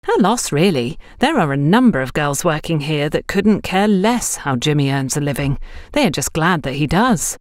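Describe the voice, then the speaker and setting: A woman's voice: low-pitched, lively intonation, normal speed, dry recording, clean studio sound. Woman voicing a candid, chatty young shop girl. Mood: gossipy. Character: young shop saleswoman, candid, animated, gossipy.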